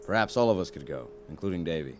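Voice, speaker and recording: A man playing a calm, probing doctor with a measured, reassuring manner in an audio drama. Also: sits low, background noise. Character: calm, probing male doctor, gently authoritative.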